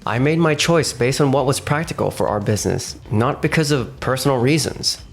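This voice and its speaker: An earnest young businessman, played by a man in a romcom manga dub. His voice is medium in pitch and explanatory, set over background music. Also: expressive, fairly quick, vocal fry. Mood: matter-of-fact. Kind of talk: scripted manga dub acting and narration.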